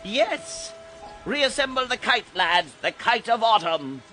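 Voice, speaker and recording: An actor playing an eerie, theatrical guide to boys on Halloween night, declaiming in a dramatized audiobook. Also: male voice, very high voice, big pitch swings, takes it slowly, over music, full character voice. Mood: commanding, exultant. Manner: declamatory, incantatory, commanding.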